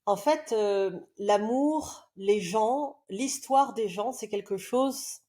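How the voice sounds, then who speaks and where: Warm-toned woman in her forties, podcast guest, reflective and earnest, halting storyteller. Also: mid-range voice. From southern France. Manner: reflective, earnest, many hesitations. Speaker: love coach guest. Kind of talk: podcast interview, personal storytelling.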